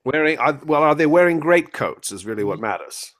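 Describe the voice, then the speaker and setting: A man acts out a condescending great detective in a comic radio play. His voice sits a bit high for a man, and he bosses his companions about briskly, repeating himself to set them straight. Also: sing-song, a bit bright. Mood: eager, bossy. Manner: halting, repeats himself to correct others. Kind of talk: loose comic live parody of old-time radio drama.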